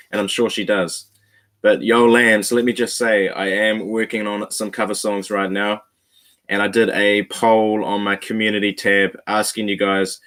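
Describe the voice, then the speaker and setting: A man's voice: fairly low pitch, fairly bright tone. A man hosting a reaction video, talking straight to his viewers in a laid-back, slangy way. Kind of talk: casual reaction commentary.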